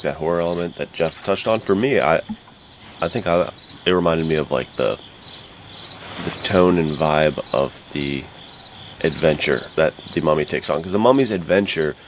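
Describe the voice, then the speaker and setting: A man's voice: very deep voice, normal speed, a little hiss or hum. A man co-hosting a podcast, talking in an informal, rambling, conversational way. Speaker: podcast co-host. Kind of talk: casual podcast chat.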